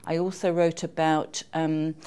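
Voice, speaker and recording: A woman's voice: low-pitched, level tone, measured pace, dark tone, smooth. A middle-aged woman who is an author and seminar attendee, giving an informal spoken testimonial.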